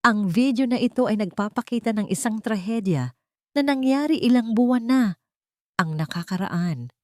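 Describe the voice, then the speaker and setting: A woman's voice: a little high, animated, moderate pace, no echo, pitched above the performer's own voice, natural voice. A woman voicing a vengeful wronged wife in an audio drama story, cold, defiant and emphatic in her accusations. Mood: accusing.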